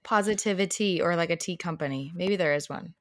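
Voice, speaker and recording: A woman in her thirties co-hosting a podcast in casual chat, a little hoarse, laughing often as she talks. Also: on the low side, brisk, no room sound, studio quality. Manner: laughs often, animated and chatty. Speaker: podcast co-host.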